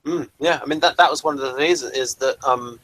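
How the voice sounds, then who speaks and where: A man with an English accent explaining things in casual discussion, often halting and rephrasing as he goes. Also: medium pitch, fairly bright tone, rough recording. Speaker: podcast reviewer.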